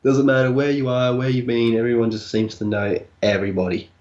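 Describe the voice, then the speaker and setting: A man's voice: mid-range voice, flat delivery, a little fast, a little mellow, highs cut off. A man in his twenties from Adelaide with an Australian accent, chatting casually as a guest and dropping Aussie slang. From Adelaide, South Australia. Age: 20s. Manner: laid-back, uses Aussie slang. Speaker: guest, gaming YouTuber. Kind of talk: casual interview chat.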